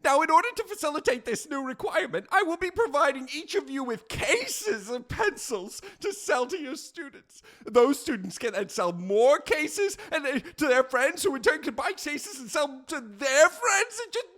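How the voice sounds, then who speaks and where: An actor playing a manic, excitable high school principal obsessed with prom sales in an audio comedy drama. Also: normal speed, few pauses, exaggerated voice. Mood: eager pitch.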